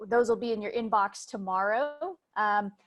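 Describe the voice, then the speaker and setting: A woman's voice: mid-range voice, normal speed, a bit bright. A woman briefly introducing herself as the note-taking assistant, friendly, with a few fillers. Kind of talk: casual self-introduction.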